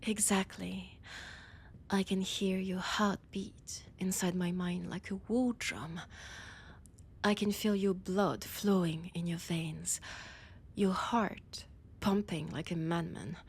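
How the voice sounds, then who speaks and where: A woman with a French accent playing an annoyed, condescending vampire scholar in a roleplay audio drama, often dropping into breathy whispers. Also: on the low side, fairly even intonation, takes it slowly, slight background noise. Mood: hungry, menacing. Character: annoyed, haughty female vampire scholar, ancient and hungry.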